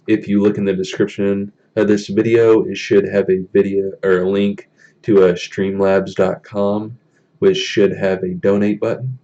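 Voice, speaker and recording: A young man with a deep voice chatting casually on a live stream from a small room, halting with many ums as he reads the chat. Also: mellow, dull audio. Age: young adult.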